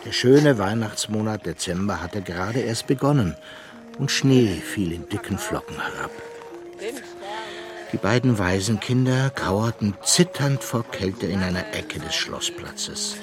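An elderly storyteller in a children's radio play. His voice is low and moves expressively through the tale at a measured, reminiscing gait, with music behind it. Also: lively intonation. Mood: suspenseful storytelling. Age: older. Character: elderly fairy-tale collector narrating his own memories.

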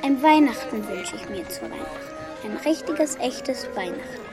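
A little orphan boy in a children's audio drama. The voice is fairly high, dreamy and wistful, with music playing behind it. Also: lively intonation, normal speed. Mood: wistful, hopeful. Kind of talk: children's radio play acting.

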